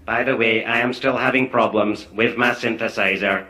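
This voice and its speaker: A man doing a flat synthesizer voice. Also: on the low side, moderate pace, heavy background noise, close to an everyday voice. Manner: flat, repetitive, formal delivery. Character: man speaking through a voice synthesizer, stiff producer. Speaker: television producer. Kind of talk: sketch comedy acting. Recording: roomy sound with loud audience laughter.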